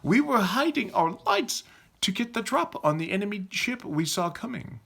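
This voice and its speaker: A man's voice: high voice, sing-song, normal speed, creaky, a bit bright, husky, voice pushed up, full character voice. A man voicing a self-assured young male leader of holy knights in a storybook, stately and probing. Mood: smug.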